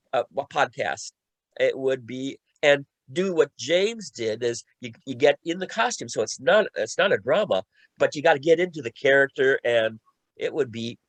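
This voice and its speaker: A man speaks casually in a panel discussion over a video call. His voice sits at a middle pitch and comes through dry, with little room sound. He often halts and circles back over his words as he makes a point. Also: no room sound, low-quality recording.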